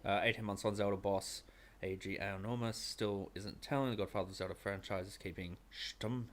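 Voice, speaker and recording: Dry, low-voiced male interviewer. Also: fairly even intonation, fairly quick, slight background noise. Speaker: interviewer, host. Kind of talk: casual conversational interview. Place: small indoor streaming room. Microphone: close studio microphone.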